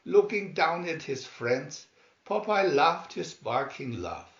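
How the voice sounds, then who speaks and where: A man's voice: fairly high pitch, very animated, slow, fairly bright tone. A man narrating a children's cartoon story from a script, as a storybook reader. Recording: dull, roomy home recording.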